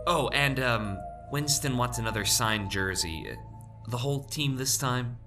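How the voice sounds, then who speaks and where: An actor playing a sardonic, wisecracking ghost hunter in an audio drama, with a glib, sarcastic delivery. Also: male voice, medium pitch, unhurried, music in the background, close to an everyday voice. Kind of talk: full-cast audio drama acting.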